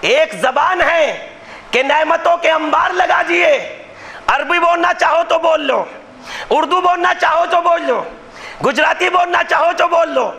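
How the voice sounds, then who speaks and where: A man delivering a Muharram majlis sermon in impassioned oratory, shouting many lines. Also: very high-pitched, measured pace. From Gujarat, India. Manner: impassioned, declaiming, often shouting rhetorical lines. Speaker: maulana preaching a majlis. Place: religious gathering hall.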